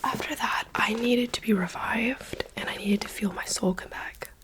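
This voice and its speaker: A woman's voice: a little high, moderate pace. A young woman whispering a chatty, dramatic book review in an ASMR style.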